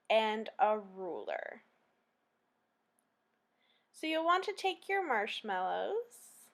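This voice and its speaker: Female storytime host for children: high-pitched, very slow-paced, expressive and deliberate read-aloud delivery.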